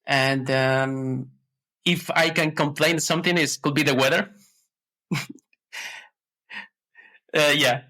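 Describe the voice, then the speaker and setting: A man's voice: fairly high pitch, fairly even intonation, slow pace, pauses often, sharp tone. A man from Ecuador, answering podcast questions in a hesitant way, with many fillers and restarts. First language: Spanish. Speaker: podcast guest. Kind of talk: casual interview answers.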